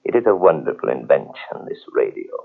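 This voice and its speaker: An actor playing a menacing, gloating villain in an old radio horror drama, theatrical and commanding. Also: male voice, a bass voice, sing-song, brisk, gravelly, phone-quality sound, heavily acted. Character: menacing, gloating male villain who refuses defeat.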